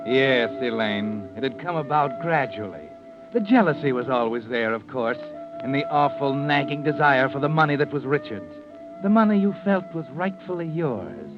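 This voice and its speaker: A man's voice: medium pitch, lively intonation, normal speed, music in the background, natural voice. An actor playing a sinister, knowing narrator of an old-time radio mystery, insinuating as he addresses the villain. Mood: ominous. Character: ominous male narrator who knows the villain's mind. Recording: muffled vintage radio recording.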